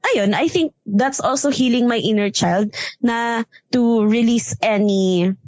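A Filipino woman with a strong, loud voice talks casually, sliding between Tagalog and English. She leans on filler words as she goes. The sound is close and dry, with no room around it. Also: on the high side, a little slow, no room sound. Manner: chatty, mixes Tagalog and English with many fillers. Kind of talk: casual chat.

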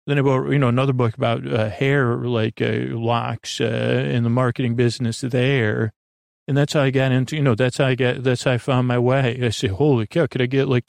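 A man hosting a bedtime podcast in a creaky, soothing voice, meandering through halting tangents and interrupting himself. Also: medium pitch, no echo, clean studio sound. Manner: lulling, soothing. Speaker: podcast host. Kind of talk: rambling bedtime sleep-podcast monologue.

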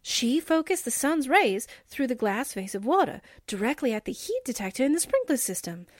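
A woman's voice: high-pitched, big pitch swings, moderate pace, dry recording, studio quality. A woman playing a breezy, flirty 1940s newspaperwoman sidekick in a radio drama, with slangy, playful wisecracks. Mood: gleeful. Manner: folksy, slangy, playful exclamations.

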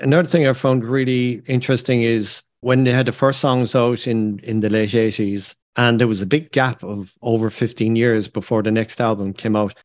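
A man's voice: mid-range voice, fairly even intonation, normal speed, hardly any pauses, no room sound, clean studio sound. A man hosting a podcast, talking in a conversational discussion style. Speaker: podcast host.

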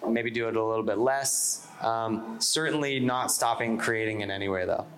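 A man's voice: fairly low pitch, normal speed, fairly bright tone. A man speaking as a panelist, thoughtful and casual, with many fillers. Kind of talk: live panel discussion.

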